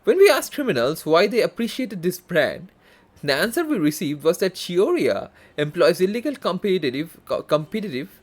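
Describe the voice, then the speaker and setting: A man live streaming a game, reading text aloud haltingly with self-corrections and casual asides. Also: on the high side. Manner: halting, stumbles and corrects himself while reading. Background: faint game music.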